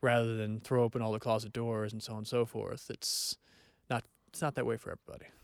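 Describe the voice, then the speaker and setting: A man's voice: medium pitch, level tone, fast, vocal fry, smooth, no room sound. Earnest man giving advice. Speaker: guest psychologist. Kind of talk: casual podcast chat.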